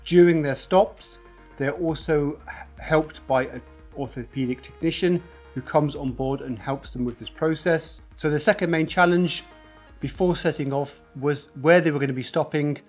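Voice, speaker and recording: A man in his forties speaks straight to camera in a dark studio, husky in tone and pitched a little high. His delivery is earnest, steady and scripted, hands moving as he explains. Faint music plays quietly behind him. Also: normal speed. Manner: earnest, steady, hands gesturing while talking. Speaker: company presenter. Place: dark studio set with a generator on display. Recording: faint music bed under the voice.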